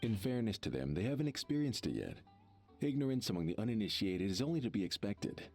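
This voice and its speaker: A man's voice: lowish voice, big pitch swings, quick pace, darkish tone, faint music behind. A man voicing a smug, gossipy true believer in an anime game, condescending and emphatic.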